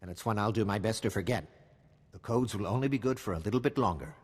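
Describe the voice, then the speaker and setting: A weary male intelligence officer in a video game. His low, husky voice briefs with wry, matter-of-fact secrecy, in a light cavernous reverb. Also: fast. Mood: focused.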